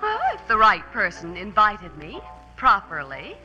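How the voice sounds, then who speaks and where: A woman's voice: medium pitch, huge pitch swings, unhurried, lo-fi sound. An actress playing a sardonic, jealous young American woman with arch, coy delivery in a 1940s radio drama. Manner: arch, dry, coy sarcasm. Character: sharp-tongued, sardonic, jealous young woman, photographer's sweetheart. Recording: vintage radio recording with music behind.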